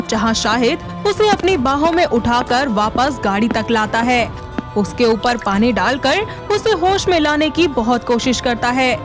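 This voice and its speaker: Woman narrator doing a moral story for cartoons, high voice, bit of music behind. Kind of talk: scripted moral-story narration for animated videos. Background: background music.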